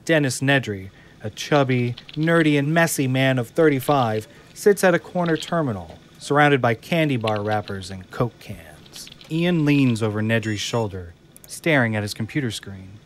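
A man narrating the scene directions of an audio drama in an even, descriptive manner. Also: medium pitch, normal speed, a little hiss or hum. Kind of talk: scripted narration of stage directions.

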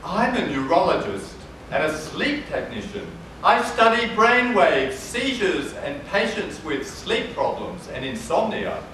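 A woman on stage playing an affectionate neurologist and old friend, with deliberate, projected delivery. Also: fairly low pitch, big pitch swings, fairly bright tone. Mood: proud, informative. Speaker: stage actor. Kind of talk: live stage play dialogue. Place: theatre stage before a live audience. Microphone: far camera. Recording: very echoey noisy hall, far off.

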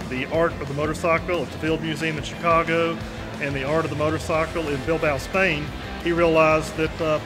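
A man in his sixties explaining things in an informal, folksy, conversational interview as a museum representative. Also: fairly high pitch. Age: 60s. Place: large indoor museum hall. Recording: loud background music. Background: music.

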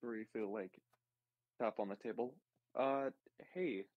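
A man's voice: mid-range voice, slow pace, no echo. A man with a medium voice talks casually as a player in an online roleplay. The sound is close and dry, a little dull from the call.

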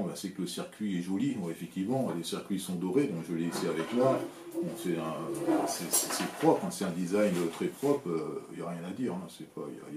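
A low, mellow-voiced man in his sixties from France talks to the camera from a home workshop. He explains in a measured, plain-spoken way, pausing often on hesitant fillers. The room sounds echoey, with a faint hum behind him. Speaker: electronics hobbyist, channel host. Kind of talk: casual explanatory talk to camera. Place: home workshop with shelves of equipment, indoors. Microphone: camera framing at desk, seated.

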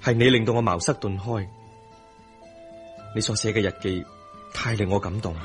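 An actor with a Hong Kong Cantonese accent playing a tender, remorseful young husband in a radio drama, earnest and emphatic. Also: male voice, fairly low pitch, fairly even intonation, moderate pace, natural voice. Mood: earnest, ardent. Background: music.

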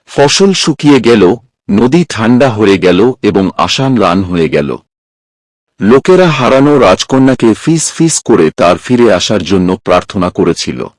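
A man's voice: fairly low pitch, darkish tone, no room sound. A man reading a scripted fairy tale as a storyteller, recorded up close as a voice-over. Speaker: storyteller, narrator.

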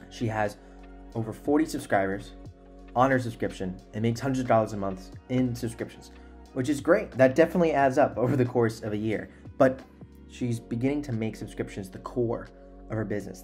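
A man's voice: mid-range voice, a little mellow. Young man hosting a podcast, earnest delivery. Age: young adult. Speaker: podcast host. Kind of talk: conversational podcast introduction and interviewing. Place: small indoor room at home. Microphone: desktop microphone close up.

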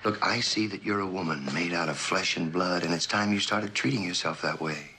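Deep-voiced, persuasive lover. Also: male voice, fairly quick, pushed and bright, a little hiss or hum. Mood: insistent. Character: confident, persuasive male writer and lover. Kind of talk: mid-century American suspense drama acting.

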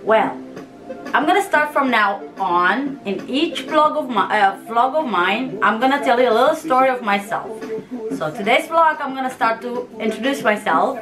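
A fairly high-voiced woman in her thirties talks straight to the camera in a casual vlog, frank and lively, gesturing as she goes. She sits in a small room at home, a little back from the camera. Music plays clearly underneath her voice. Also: moderate pace, rough recording. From Yemen and Somalia. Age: 30s. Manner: frank, animated, gestures with hands. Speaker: vlogger. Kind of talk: casual direct-to-camera chat. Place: small home room, seated in a hanging chair. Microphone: camera in front, mid distance. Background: music playing in the background.

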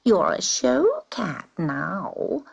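A woman's voice: medium pitch, wildly swooping pitch, extremely slow, creaky, husky, dull audio, exaggerated voice. A woman voicing a haughty female show cat in a storybook reading, with a scornful, drawling manner. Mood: sneering.

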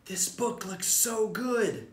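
A man puts on an excitable storybook baby monkey, childlike and dramatic. The voice jumps up high and swings widely, with room echo around it. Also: slow pace. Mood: anxious, eager. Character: excitable little monkeys, pleading and squealing. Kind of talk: storybook character voice. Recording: roomy echo, distant mic.

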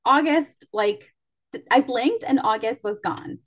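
A woman's voice: fairly high pitch, unhurried, fairly bright tone. A woman hosting a podcast interview, talking casually with lots of fillers and joking about herself. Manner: chatty, self-deprecating, many fillers. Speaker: podcast host.

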